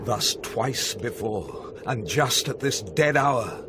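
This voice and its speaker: Anxious British night-watchman, swooping pitch. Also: animated, slow. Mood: grave, questioning. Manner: intense, theatrical, urgent. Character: earnest, anxious male night-watch soldier. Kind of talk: Shakespearean radio drama acting. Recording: noisy 1990s radio drama with ambience.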